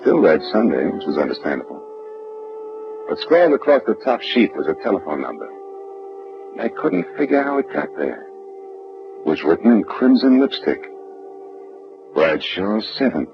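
A man's voice: deep voice, very animated, normal speed, creaky, pauses often, full character voice. An actor playing a wry, world-weary American private detective in a 1940s radio drama, with sardonic, clipped tough-guy talk. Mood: reflective, weary. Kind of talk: 1940s radio drama acting and noir narration. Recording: muffled old radio recording, faint music.

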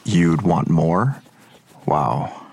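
An actor playing a weary, sardonic police detective in an audio drama, with a dry, blunt, skeptical delivery. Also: male voice, deep voice, very slow, vocal fry, darkish tone, slightly rough, heavily acted. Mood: skeptical, impatient. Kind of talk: naturalistic audio drama acting.

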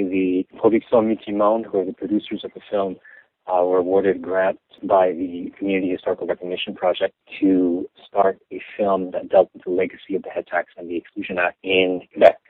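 A young Canadian man answering interview questions in a measured, explanatory way, with some restarts. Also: deep voice, no room sound. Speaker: interview guest. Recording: tinny phone line.

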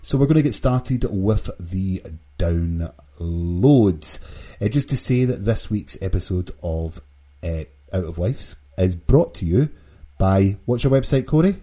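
British guy hosting a games podcast, low mellow voice, trips over words a bit. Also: lively intonation, unhurried. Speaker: podcast host. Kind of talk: casual video game podcast chat.

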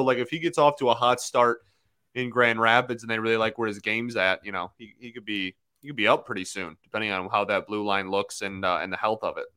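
A man's voice: medium pitch, fairly bright tone. A man co-hosting a casual sports podcast, teasing his partner with dry humor. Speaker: podcast co-host.

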